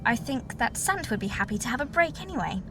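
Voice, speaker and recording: A woman playing a brisk, courteous senior colony doctor in a science-fiction audio drama, with formal, old-fashioned phrasing. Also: on the high side, very animated, noisy background.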